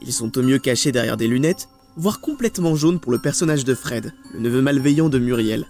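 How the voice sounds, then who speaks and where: A man's voice: mid-range voice, expressive, a little fast, warm tone, faint music behind. A man giving scripted storytelling narration, articulate and dramatic, putting questions to the audience. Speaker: narrator of a video documentary series.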